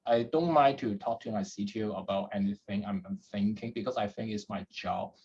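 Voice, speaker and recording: A man from Hong Kong with a low, husky voice, speaking as a podcast guest. He talks in halting phrases and often repeats words while he thinks. The recording sounds dull and lo-fi. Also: darkish tone. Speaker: guest, head of data at a startup. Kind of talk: podcast interview answers.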